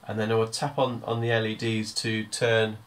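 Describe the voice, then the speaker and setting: A man giving a hands-on music software tutorial, explaining step by step in an informal, hesitant way. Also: on the low side, monotone, normal speed.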